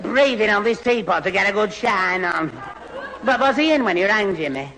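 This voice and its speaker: A man's voice: high-pitched, lively intonation, moderate pace, gravelly, sharp tone, a faint crowd, full character voice. An actor playing a gormless, put-upon young man who stammers and splutters in exasperation in an old radio sitcom. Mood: hesitant. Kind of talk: 1970s radio sitcom acting. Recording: reverberant old radio recording, faint noise.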